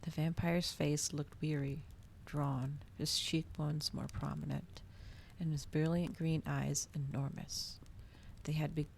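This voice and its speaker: A soft-spoken woman reading a story and chatting to viewers in a cozy ASMR style, often slipping toward a whisper, close to the microphone. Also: sits low, flat delivery, slow, vocal fry, a little hiss or hum. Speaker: streamer reading aloud to viewers.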